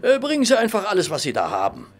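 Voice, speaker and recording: An actor playing a fussy, timid gentleman sidekick in a radio drama, with a blustery, plaintive way of talking. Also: sits high, wildly swooping pitch, fast. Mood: hungry, indignant.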